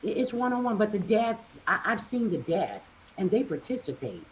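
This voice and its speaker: Emphatic middle-aged woman, lively pitch. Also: normal speed, slight background noise, poor audio. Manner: emphatic, repeats points for weight. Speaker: panelist. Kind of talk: panel debate.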